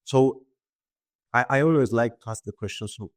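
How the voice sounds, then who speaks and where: Man, podcast host, informal questions, repeated words, mid-range pitch. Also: moderate pace. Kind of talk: casual interview questions.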